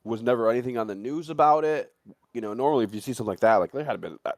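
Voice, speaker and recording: A man's voice: mid-range voice, rapid-fire, a little mellow, no room sound, studio quality. A man chatting casually and speculating in a rambling, repetitive way, with some swearing. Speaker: livestream talk participant. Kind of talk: casual chat, animated storytelling and speculation.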